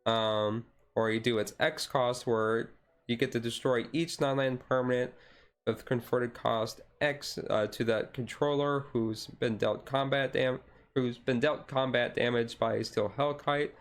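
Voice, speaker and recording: A man giving casual commentary on a card deck as a streamer, thinking aloud in a halting way. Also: mid-range voice, fairly even intonation.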